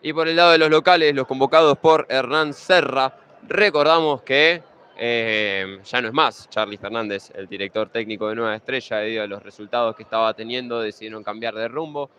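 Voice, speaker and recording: A man's voice: mid-range voice, fairly quick, fairly bright tone, clear, resonant tone. A young man with an Argentine Spanish accent, giving pre-match futsal commentary in a conversational way, running through league standings and team lineups.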